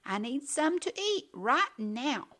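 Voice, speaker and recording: Woman playing a high-pitched, insistent little witch girl. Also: sing-song, takes it slowly, pushed and bright, heavily acted. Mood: bossy chanting. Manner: chanting, insistent, exclamatory. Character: pushy, mysterious little witch girl demanding chocolate.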